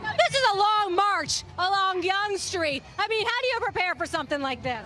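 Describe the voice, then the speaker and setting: A woman reporting live from an outdoor city parade, her voice very high and bright. She greets passersby and quickly asks them questions on a handheld mic, keeping an upbeat, chatty tone. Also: some chatter behind. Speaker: field reporter. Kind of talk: live street interviews.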